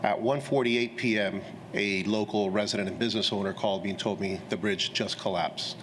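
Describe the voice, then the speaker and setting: A man's voice: on the low side, fairly even intonation, a little fast, fairly bright tone, a little hiss or hum. A middle-aged man, a mayor, giving a statement at a press conference.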